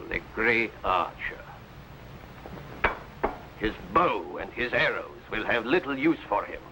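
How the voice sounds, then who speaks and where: An actor playing a tyrannical, sneering governor who speaks in archaic, threatening phrases in an old adventure serial. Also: male voice, fairly low pitch. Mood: imperious, gloating. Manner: imperious, archaic, threatening speech. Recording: noisy old broadcast recording.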